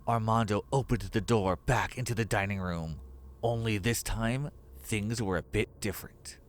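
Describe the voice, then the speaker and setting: A man's voice: lowish voice, slight background noise. A man narrating a scripted horror story as its storyteller.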